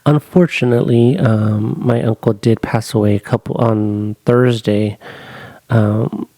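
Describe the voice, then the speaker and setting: Male podcast co-host, stuffy nose from a cold, relaxed storyteller, dry close sound. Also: on the low side, measured pace, dry recording. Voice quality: nasal. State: congested from a cold. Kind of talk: casual podcast chat.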